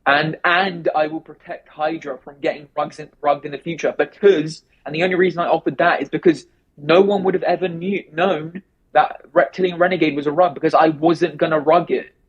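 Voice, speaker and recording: A man talking fast and rambling in a casual remote interview, with many restarts. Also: fairly high pitch, steady tone, breathy edge, dull audio. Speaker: interview guest.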